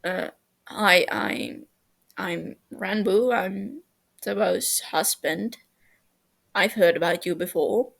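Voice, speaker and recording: A woman voicing a shy, awkward young husband in a story, nervous and hesitant, halting as he speaks. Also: mid-range voice, vocal fry, a bit bright, exaggerated voice.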